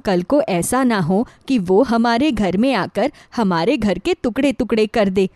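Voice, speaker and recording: A woman's voice: medium pitch, measured pace, a little mellow, no echo, clean studio sound, close to an everyday voice. A woman playing an affectionate older mother-in-law who frets about her household in a moral story. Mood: worried, stern. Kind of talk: acted dialogue in a moral story.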